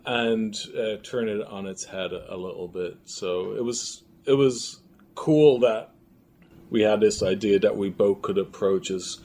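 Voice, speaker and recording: A man's voice: on the low side, unhurried, warm tone. A man speaking as an interview guest, looking back on events in casual, reflective conversation. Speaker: filmmaker and writer, interview guest. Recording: clearly noisy room ambience.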